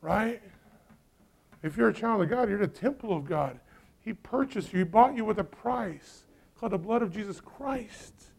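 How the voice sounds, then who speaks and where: A man preaching with a high, gravelly voice. He builds to loud, urgent peaks, then drops to low, quiet asides. His voice sits high and stays rough with fry. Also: expressive, normal speed. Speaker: pastor. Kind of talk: sermon.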